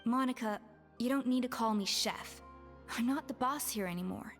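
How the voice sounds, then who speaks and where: Woman playing a proud, high-voiced former head chef. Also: moderate pace, natural voice. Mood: calm, matter-of-fact. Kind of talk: anime game voice acting. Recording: long reverb, music behind.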